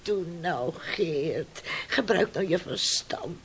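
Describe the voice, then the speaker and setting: A woman's voice: on the low side, animated, takes it slowly, a crowd in the background. An actress playing an anxious, admonishing older woman, a fretful village aunt in a Dutch radio play. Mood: alarmed, pleading. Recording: noisy radio play recording with clicks.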